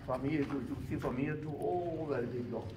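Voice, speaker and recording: A man guiding visitors around an unfinished concrete building, talking haltingly and filling every gap with "you know". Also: medium pitch. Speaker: tour guide. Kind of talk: guided walking tour. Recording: echoey, distant, noisy background.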